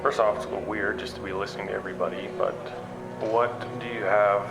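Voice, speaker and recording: A man acting as a wary, skeptical investigator in a sci-fi audio drama, speaking in a halting, understated, conversational way. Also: fairly low pitch, steady tone, natural voice, low-quality recording. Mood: skeptical, probing. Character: wary, skeptical male investigator broadcasting in secret. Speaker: narrator and lead character. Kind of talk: audio drama acting, confessional broadcast narration and dialogue. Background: prominent background music.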